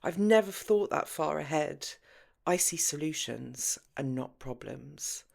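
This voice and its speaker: A woman's voice: low-pitched, expressive, a little slow, gravelly, darkish tone, full character voice. Woman acting a mischievous, dramatic party girl. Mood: reassuring. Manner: dramatic, insistent. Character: mischievous, tipsy, free-spirited woman, a bold hostess.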